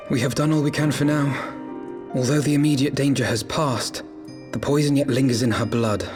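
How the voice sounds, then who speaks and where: A man playing an earnest, troubled young nobleman in a game cutscene, with formal, eloquent, measured speech. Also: mid-range voice, fairly even intonation, lightly acted. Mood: grave, grateful. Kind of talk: video game cutscene acting. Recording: background music under the dialogue.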